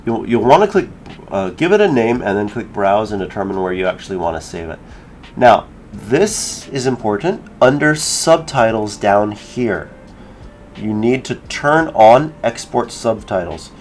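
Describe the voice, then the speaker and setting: A man giving a casual software tutorial, informally thinking aloud as he works through each step. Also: on the low side, big pitch swings, a little slow, soft background music. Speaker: tutorial creator.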